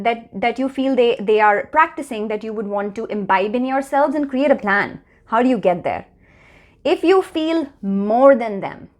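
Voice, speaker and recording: A woman in her thirties from India with an Indian accent, hosting her own channel and talking earnestly and reflectively to the camera. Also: fairly high pitch. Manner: earnest, measured, slows to stress key phrases. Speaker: channel host. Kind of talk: reflective personal talk to camera.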